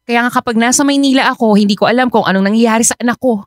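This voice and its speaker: A woman's voice: a little high, rapid-fire, no room sound, studio quality, lightly acted. A woman playing an exasperated, worried older sister and working mother in radio drama dialogue, with emphatic, complaining interjections. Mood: fed up, worried.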